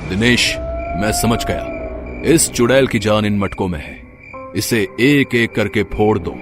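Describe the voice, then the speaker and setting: An actor playing a stern, protective old forest mystic who speaks in a commanding, dramatic way in a horror story. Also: male voice, fairly low pitch, unhurried, music in the background, natural voice. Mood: resolute.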